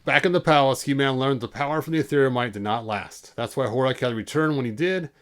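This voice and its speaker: A man's voice: medium pitch, fast, fairly bright tone. A man hosting a casual livestream storybook read-aloud, informal, stumbling over words and breaking off for asides. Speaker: host reading a storybook aloud to online viewers.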